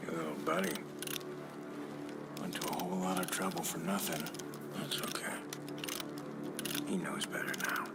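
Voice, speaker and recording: A middle-aged man playing a calm, menacing cult commander in a video game, slow and deliberate, often slipping into a threatening whisper. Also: deep voice, creaky, heavily acted. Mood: calm menace. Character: menacing cult commander, calm male manipulator preaching strength. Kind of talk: dramatic video game cutscene acting. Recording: echoey, with loud music.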